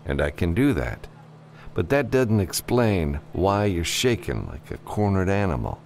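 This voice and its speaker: Man as a gruff, cool-headed spacefaring gunman. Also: low voice, sing-song, a little mellow, a little hiss or hum, voice pushed up, exaggerated voice. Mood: cool, probing. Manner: casual, dry, unhurried. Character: gruff, level-headed freelance spaceship pilot, cool and wry.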